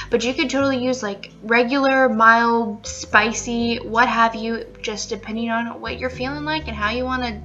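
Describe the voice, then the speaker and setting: A young woman chatting casually through a home cooking video, upbeat and full of fillers, recorded at home with soft music behind. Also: fairly high pitch, dull audio. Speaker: cooking vlogger. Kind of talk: casual cook-along narration. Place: living room at home, indoors.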